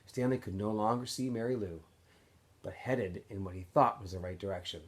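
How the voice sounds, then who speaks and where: A man reading a novel aloud as a teacher would for students, in an expressive, animated storytelling way with phrases that often trail off softer. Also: fairly low pitch, normal speed. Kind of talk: expressive audiobook-style read-aloud narration.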